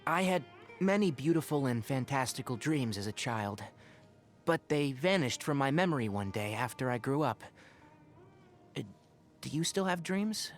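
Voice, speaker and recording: An earnest young forest ranger from a video game, played by a man, with a mid-pitched voice that rises and dips expressively. He sounds scholarly and caring, with a dry, wry streak and articulate phrasing. Music plays behind him. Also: expressive, moderate pace, pauses often, lightly acted. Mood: gentle, reflective. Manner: articulate, thoughtful, dryly opinionated. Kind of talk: scripted game character monologue.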